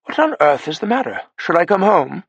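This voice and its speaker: Man doing aristocratic male agent, weary, urgent, gravelly, lively pitch. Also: a little fast, no echo, lo-fi sound, full character voice.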